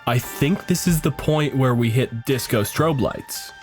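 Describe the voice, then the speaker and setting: A man's voice: mid-range voice, measured pace. A man hosting a tabletop gaming podcast, talking casually as he runs the game. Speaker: podcast host and game master. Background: music.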